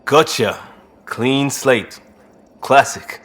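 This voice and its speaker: An actor voicing an easygoing, earnest crisis-response ex-cop in a video game, casual and blunt. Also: male voice, mid-range voice, drawn-out words, fairly bright tone. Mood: earnest, resolute. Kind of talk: video game dialogue acting.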